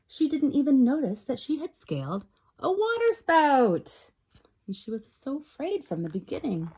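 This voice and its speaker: A woman reading a picture book aloud as a storytime librarian, expressive and talking directly to young listeners. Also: mid-range voice, breathy edge. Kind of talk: children's read-aloud narration.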